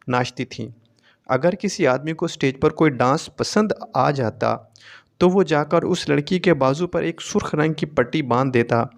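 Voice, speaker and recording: A man's voice: on the high side, gravelly. A man reading a scripted, emotional first-person story as a narrator.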